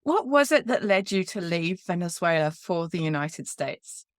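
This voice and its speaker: A woman's voice: on the low side, sing-song, normal speed. A woman hosting a podcast who reads a scripted intro and then welcomes and questions her guest in a friendly way. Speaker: podcast host.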